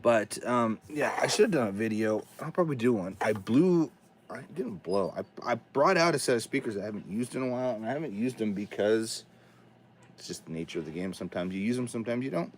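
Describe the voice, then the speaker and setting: Guy with a cold, raspy hoarse voice, chatty, rambles on his livestream, pitch jumps around a lot. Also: animated, fairly quick, a little hiss or hum. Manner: rambling, chatty, talks to himself while setting up. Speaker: livestream host. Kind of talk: casual livestream chat. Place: home studio basement.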